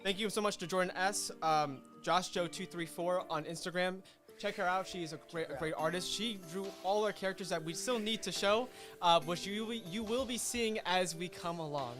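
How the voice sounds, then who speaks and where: A man hosting a casual livestream, giving effusive, halting thanks with repeated phrases. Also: high voice, music in the background. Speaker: co-creator and host of a release stream.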